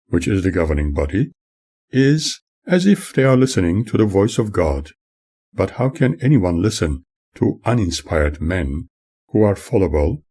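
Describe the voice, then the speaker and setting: Low-pitched, expressive man narrating formally. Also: husky. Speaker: author reading own memoir. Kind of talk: scripted audiobook narration.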